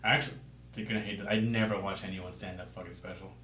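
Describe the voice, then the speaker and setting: A man talks fast in a loose podcast chat, hedging and playing himself down with the odd curse. His voice sits low. The room is echoey, with a faint hum behind him. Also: steady tone, a crowd in the background. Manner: hesitant, self-deprecating, swears casually. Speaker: podcast co-host. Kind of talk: casual chat.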